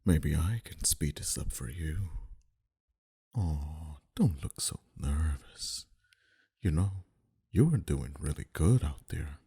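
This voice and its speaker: A man playing a dominant, flirty mafia boss in an intimate ASMR roleplay, talking in a deliberate, quietly threatening and teasing manner. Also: rumbling low, lively intonation, creaky, slightly rough, heavily acted. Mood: amused, menacing. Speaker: voice actor.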